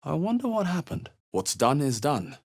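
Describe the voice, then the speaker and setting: A man playing a watchful, wry adventurer hero in a fantasy video game, musing in measured asides. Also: a little high, huge pitch swings, darkish tone, full character voice. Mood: wary, observant. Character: adventurer hero, male, watchful, wry, muttering observations while exploring. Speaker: player character in a fantasy role-playing game.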